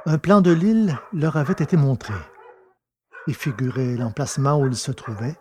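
A man's voice: fairly high pitch, moderate pace, a little mellow, slight background noise. A man reading scripted science-fiction story narration.

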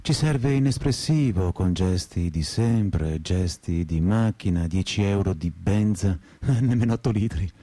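Mellow, weary, deadpan working man. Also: deep voice, a little slow, few pauses, no echo, exaggerated voice. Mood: weary, wry. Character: weary, groggy, crude working man, wryly disillusioned. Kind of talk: theatrical first-person monologue, radio drama.

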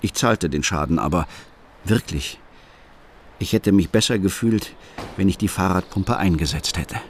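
An actor narrating a radio play as a troubled father, speaking in a calm, reflective, confessional way. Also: sits low, moderate pace, breathy edge. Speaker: first-person narrator.

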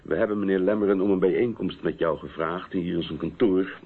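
A man's voice: deep voice, brisk, husky. A man acting in a radio play as a persistent police inspector, questioning in a businesslike, authoritative way. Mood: probing. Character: stern, persistent male police inspector interrogating a witness. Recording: muffled, narrow-band old radio recording.

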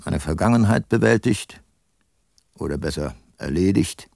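An actor playing a brooding, resolute older detective in a radio drama, speaking gravely and deliberately. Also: male voice, low voice, expressive, darkish tone, no room sound, studio quality, heavily acted. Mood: sombre, reflective. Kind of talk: radio drama dialogue and first-person narration.

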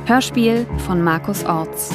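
A woman announcer reading the closing credits of a radio play in a measured, formal way. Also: mid-range voice. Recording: announcement over loud music.

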